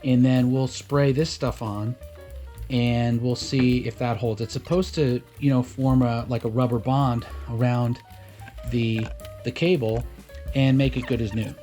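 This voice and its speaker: A man with a mid-range voice talks as the host of a homemade boat repair vlog. His delivery is casual and off the cuff, halting now and then and repeating words as he explains. Faint music can be heard with his voice. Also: mid-range voice, steady tone, dark tone. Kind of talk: casual unscripted how-to chat.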